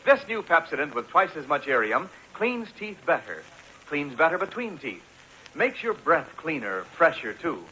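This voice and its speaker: A man's voice: on the high side, very animated, moderate pace, sharp tone, a little hiss or hum, poor audio. An American man reading a 1940s radio advertisement as an emphatic, salesman-like announcer on an old broadcast. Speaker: commercial announcer.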